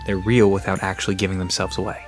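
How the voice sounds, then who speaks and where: A man's voice: sits low, flat delivery, fast talker, soft background music, close to an everyday voice. A man playing an easygoing park guide in an audio drama, explaining things in a casual, conversational manner. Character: Sam, easygoing male park guide to cryptids.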